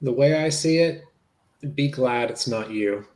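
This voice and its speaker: A man playing a plain-spoken investigator, mid-pitched. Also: a little slow, a little mellow, lightly acted. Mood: reassuring. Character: male investigator, plain-spoken, gently probing and reassuring. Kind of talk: dramatic reading of police interview transcript.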